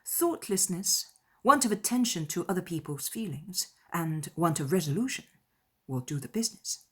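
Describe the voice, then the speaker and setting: Woman doing a feisty opinionated young period lady, firm punchy stresses, bit husky, audiobook drama. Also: on the low side, lively intonation. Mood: firm, candid. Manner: emphatic, indignant, deliberate stresses. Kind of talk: dramatized period novel dialogue.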